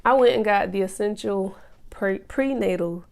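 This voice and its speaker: A woman's voice: mid-range voice, normal speed, gravelly, darkish tone. A woman vlogging casually about her pregnancy, explaining things step by step in a chatty way, sounding a little nasal from a cold. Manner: chatty, explaining step by step, many ums and you-knows. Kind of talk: casual chat to camera.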